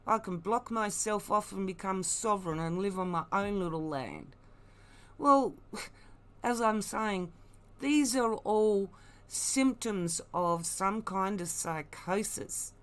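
Aussie woman ranting off the cuff, mid-pitched, blunt and mocking, really leaning on her points. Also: takes it slowly, a bit bright. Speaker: online commentator. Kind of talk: opinionated unscripted commentary.

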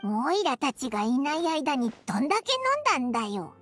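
A woman's voice: high voice, expressive, fairly quick, soft background music. A woman voicing a tiny, blunt and encouraging childlike fairy companion in a Japanese game, with brash boyish speech. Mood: teasing.

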